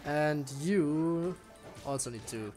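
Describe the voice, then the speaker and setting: A man's voice: on the high side, slow pace, darkish tone, soft background music. A man streaming a video game with casual commentary and wry, muttered asides to himself. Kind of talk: casual live gameplay commentary.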